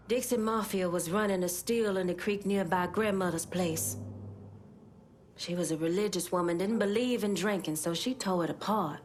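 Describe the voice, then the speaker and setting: A woman's voice: on the low side, slight background noise, natural voice. An actress as a solemn, impassioned resistance leader woman. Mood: grave, pained. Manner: solemn, measured, persuasive. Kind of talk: dramatic video game cutscene acting.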